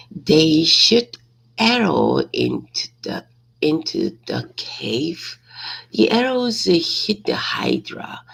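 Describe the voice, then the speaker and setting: A woman reading a simple story aloud as practice, hesitantly, repeating and correcting words, with a raspy, creaky voice. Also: very deep voice, poor audio.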